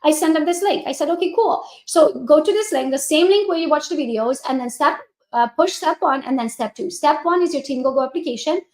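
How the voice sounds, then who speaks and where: A woman with a Hungarian accent coaches in a lively, chatty way and talks right to her viewers. Her voice sits high, and the sound carries a roomy echo. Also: a little fast. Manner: energetic, chatty, addresses viewers directly. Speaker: real estate coach. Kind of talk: coaching walkthrough on a live stream.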